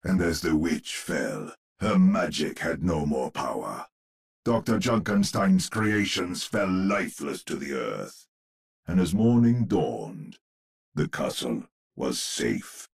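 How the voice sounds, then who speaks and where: An actor playing a grave old storyteller, narrating a gothic horror tale for a video game in a husky, gravelly voice with theatrical, ominous phrasing. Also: male voice, rumbling low, very animated, slow, heavily acted. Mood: solemn.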